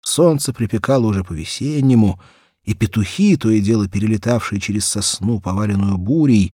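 A man with a husky, gravelly voice, reading a children's story as an expressive audiobook narrator. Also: lowish voice, brisk, no room sound, clean studio sound.

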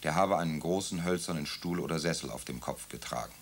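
A man narrating a radio drama in a calm, measured way. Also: rumbling low. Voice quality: gravelly.

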